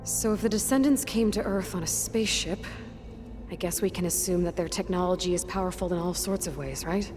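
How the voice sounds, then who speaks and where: Actress as probing young heroine in reverberant hall. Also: female voice, lowish voice, brisk, darkish tone, close to an everyday voice. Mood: probing, thoughtful. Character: determined young woman hero, sceptical and probing. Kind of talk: video game dialogue. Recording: long hall reverb, game music behind. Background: music.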